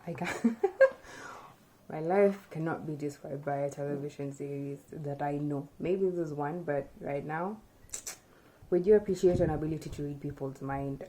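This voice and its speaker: Low mellow young woman vlogger. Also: measured pace, a little hiss or hum, low-quality recording. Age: young adult. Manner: relaxed, chatty, thinks aloud with fillers. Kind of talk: casual chat, answering tag questions. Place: small room indoors. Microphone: camera in front at close range.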